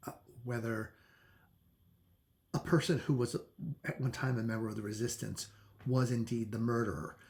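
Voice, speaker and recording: This is a man with a noticeably creaky, gravelly voice at an ordinary middle pitch. He talks as a book reviewer would in a casual, unscripted chat. His delivery is halting and conversational, and he often backs up to reword himself. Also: a little mellow. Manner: halting, self-correcting, conversational.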